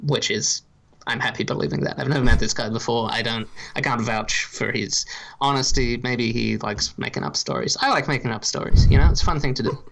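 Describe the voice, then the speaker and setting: A man from Ireland with no marked accent, co-hosting a podcast and calmly laying out his reasoning in a dry, deliberate way. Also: mid-range voice, vocal fry, a little hiss or hum, poor audio. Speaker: podcast co-host. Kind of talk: casual podcast chat.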